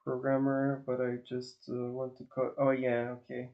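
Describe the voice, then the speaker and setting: A man from northwest Iran, a native Azeri and Farsi speaker, chatting casually with his stream viewers and often pausing to search for words. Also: mid-range voice, monotone, dull audio. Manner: hesitant, searching for words, many restarts and fillers. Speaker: livestream host.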